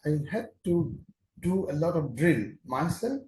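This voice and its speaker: An older man with an Indian English accent, recalling his teaching days in a measured, explanatory way. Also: fairly high pitch, big pitch swings, dark tone. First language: Hindi or Urdu. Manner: measured, explanatory, with frequent restarts. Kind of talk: reflective teaching talk. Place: office, indoors. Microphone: camera on desk, mid-shot. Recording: echoey room with faint hiss.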